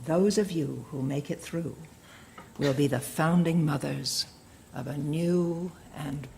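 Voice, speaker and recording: An actress playing a stern, zealous indoctrinator who lectures captive women in a deliberate sermonizing manner in an audio drama. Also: female voice, sits low, creaky, warm tone, a little hiss or hum, exaggerated voice. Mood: solemn, instructing. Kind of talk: audio drama acting, preachy speech.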